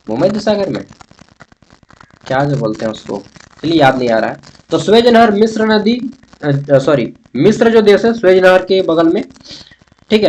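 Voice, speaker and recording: A man with a Jharkhand Hindi accent and a slightly high voice gives an informal lesson. He talks straight to his students in everyday colloquial speech. He often poses a question and then answers it himself. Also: a little mellow, poor audio. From Jharkhand, India. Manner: colloquial, chatty, addresses students directly. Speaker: history teacher for college students. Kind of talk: informal exam-prep lesson.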